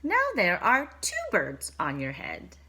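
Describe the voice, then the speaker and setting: A woman voicing a calm, cheerful female pig in a storybook, giving plain, matter-of-fact answers. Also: on the low side, slow pace, voice pushed down, full character voice.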